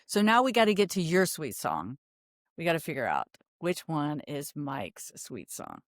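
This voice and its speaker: A woman's voice: low-pitched, huge pitch swings, normal speed, no room sound, clean studio sound. A woman hosting a podcast interview in casual conversation, upbeat and encouraging as she asks her questions. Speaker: podcast host.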